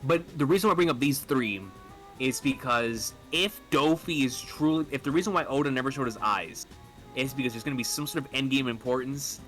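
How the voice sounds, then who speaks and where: A man talking casually and enthusiastically as a fan on a podcast, halting and rambling as he lays out his thoughts. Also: mid-range voice, fairly bright tone, faint music behind. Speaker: podcast speaker discussing manga theories.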